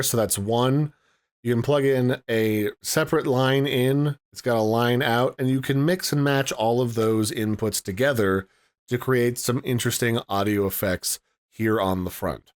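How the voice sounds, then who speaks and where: A man giving a casual product review and demo as host. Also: mid-range voice.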